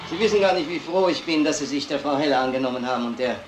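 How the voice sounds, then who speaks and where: An actor playing a kindly, concerned village pastor, gentle and sympathetic, in a crime drama. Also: male voice, fairly high pitch, rapid-fire, close to an everyday voice. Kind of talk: mid-century German crime film dialogue. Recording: noisy, echoing old film soundtrack.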